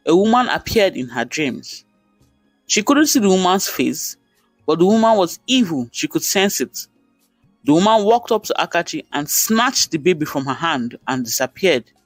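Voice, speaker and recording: A man's voice: a little high, no echo, poor audio. A man with a Nigerian accent narrating a folktale in short, dramatic sentences. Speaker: storyteller.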